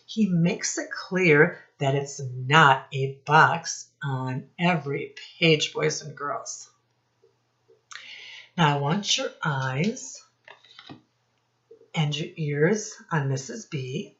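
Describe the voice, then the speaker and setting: A woman teacher speaking deliberately to young children in a read-aloud lesson, addressing them directly with questions. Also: deep voice, a bit bright. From United States. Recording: dull lo-fi sound.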